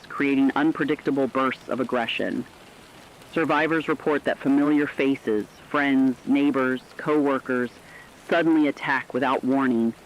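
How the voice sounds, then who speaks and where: A man playing an earnest radio announcer, reading a scripted emergency broadcast in a measured manner. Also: mid-range voice, lightly acted. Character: earnest male radio announcer warning survivors of catastrophe. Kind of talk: scripted fictional radio news narration. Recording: dull lo-fi sound, rain-like noise.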